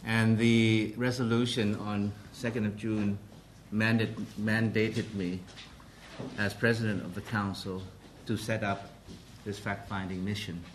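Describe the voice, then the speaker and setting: A man giving a formal press briefing as president of a council, speaking carefully with many pauses and restarts. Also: lowish voice, little pitch movement, a little hiss or hum.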